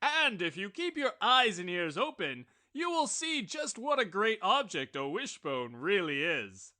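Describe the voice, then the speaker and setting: A man reading a storybook aloud voices a boastful, self-important male wishbone who lectures the other kitchen objects in a loud, grand way. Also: high-pitched, sing-song, a little slow, full character voice. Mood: pompous.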